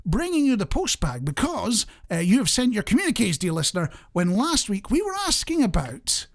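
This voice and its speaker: A man's voice: sits high, huge pitch swings, normal speed. A man hosting a light-hearted radio show, chatting in a jokey, self-deprecating way straight to the listener. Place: radio studio.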